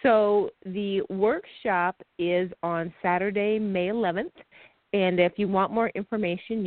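A woman's voice: mid-range voice, dry recording. Chatty woman host on a tinny line. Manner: upbeat, laughs often, chatty. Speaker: podcast host. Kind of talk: casual chat. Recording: dry, tinny phone-like line.

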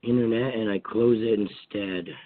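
A hoarse man with a cold from Vermont, hosting a call-in radio talk show in a rambling, casual way with many fillers and restarts. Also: fairly low pitch, fairly even intonation, normal speed. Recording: tinny phone-line sound.

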